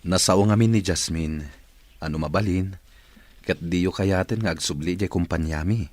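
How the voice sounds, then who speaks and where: A low male voice of an actor with a rough, husky edge plays a courteous, courting boss in an Ilocano radio drama. He is gentle but persistent. The sound is close and dry. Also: normal speed, no echo.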